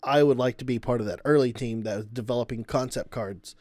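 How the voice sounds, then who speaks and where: Enthusiastic podcast co-host, mid pitch. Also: male voice, a little fast, a little mellow, no echo. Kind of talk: casual chat.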